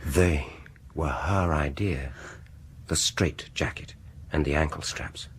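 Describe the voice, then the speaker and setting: An actor playing a sinister, vengeful male dentist in a radio horror drama, gloating and deliberate, lingering on words and dropping into whispers. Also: extremely low pitch, slightly rough, full character voice. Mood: sinister, intimate.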